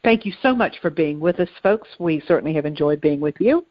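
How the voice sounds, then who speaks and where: A woman co-hosting a conversational podcast, explaining things in a reflective, measured manner. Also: sits low, animated, no room sound, dull audio. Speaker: podcast co-host.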